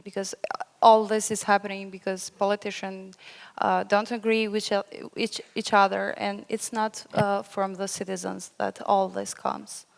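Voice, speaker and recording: A young woman giving an unscripted panel answer, halting as she searches for words. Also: medium pitch, level tone, gravelly. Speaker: panel speaker. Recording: echoey hall with long reverb.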